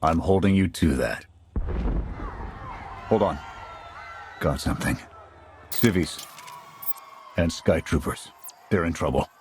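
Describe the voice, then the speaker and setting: A man's voice: low voice, takes it slowly, pauses often, darkish tone, a little hiss or hum. An actor playing a jaded male military commander in a video game, talking in terse, clipped soldier phrases. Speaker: squad commander. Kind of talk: video game cutscene acting.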